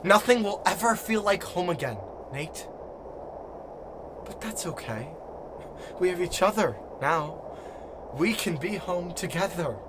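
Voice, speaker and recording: Actor doing desperate guilty lonely guy in audio drama, halting pleading voice, noisy background. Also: high-pitched, lively intonation. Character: lonely, guilt-ridden, desperate man clinging to past. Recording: clear background noise and ambience.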